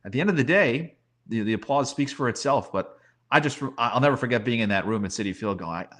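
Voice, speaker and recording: A man's voice: medium pitch, expressive, fast, fairly bright tone. A man giving opinionated, emphatic sports talk in a conversational way, often posing rhetorical questions. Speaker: sports commentator and guest.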